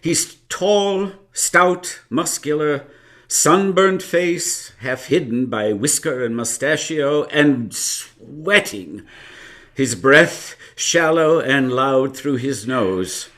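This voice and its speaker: A man playing a narrator in a radio drama, recalling events in a deliberate, descriptive and emphatic way. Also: medium pitch, a bit bright. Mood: vivid recollection.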